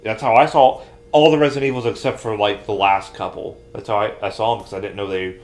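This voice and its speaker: Low-pitched man chatting casually. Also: steady tone, fast talker. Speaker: podcast co-host. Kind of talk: casual chat about pop culture.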